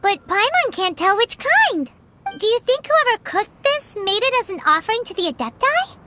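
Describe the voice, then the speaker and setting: A woman voicing a small, excitable, childlike fairy companion with a squeaky voice in a video game. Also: moderate pace, heavy background noise. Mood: curious. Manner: speaks of self in third person, exclamatory. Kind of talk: animated video game voice acting. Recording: music behind the voice. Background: playful game music.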